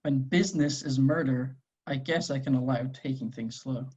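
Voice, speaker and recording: A man's voice: mid-range voice, normal speed, a little mellow, husky, lightly acted. A man playing a weary, scowling hitman in his forties at an amateur table read, terse, wary and testy. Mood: guarded. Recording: dull lo-fi voice recording.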